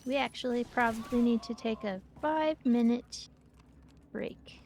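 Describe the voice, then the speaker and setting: Woman, fairly high pitch, casual gaming chat, livestream co-host. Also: measured pace, darkish tone, slight background noise. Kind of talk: casual chat while playing a video game.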